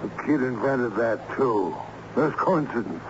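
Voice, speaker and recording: An actor playing a blunt, impatient, skeptical lawyer in an old-time radio drama. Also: male voice, medium pitch, animated, a little slow, close to an everyday voice. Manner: blunt, dismissive. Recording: muffled, noisy radio drama recording.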